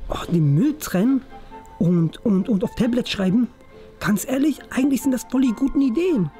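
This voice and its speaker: A woman's voice: on the high side, lively intonation, moderate pace, warm tone, natural voice. A woman playing a sporty, candid, fair-minded schoolboy in a children's skit, talking in a frank, emphatic way. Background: music.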